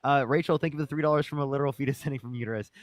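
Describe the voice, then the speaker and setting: A man's voice: mid-range voice, steady tone, racing, no echo, clean studio sound. A male streamer rattling through donor thank-yous and jokingly mocking an opponent. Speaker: streamer co-host. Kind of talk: rapid donation thank-yous.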